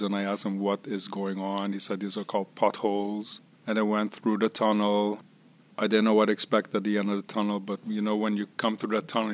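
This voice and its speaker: A man born in Israel and raised in Curacao recounts his life at an unhurried, reflective pace. His voice sits a little low, and he talks like someone sharing memories in a personal interview. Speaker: tavern owner. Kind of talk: personal life-story monologue.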